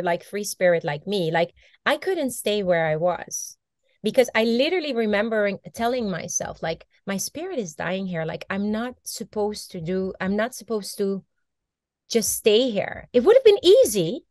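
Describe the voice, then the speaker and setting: A woman in her forties, a native Dutch speaker, telling her story with animated gestures over a video call from a bright room at home. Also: medium pitch, normal speed, no room sound, studio quality. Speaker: guest, mindset coach. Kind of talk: casual video-call interview. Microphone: video call laptop mic.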